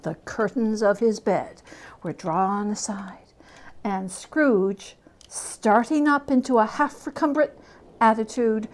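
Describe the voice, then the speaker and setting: A woman giving a dramatic reading of a classic story, theatrical, lingering on words and dropping into hushed tones. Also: fairly high pitch, slow. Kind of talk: dramatic reading of literary narration.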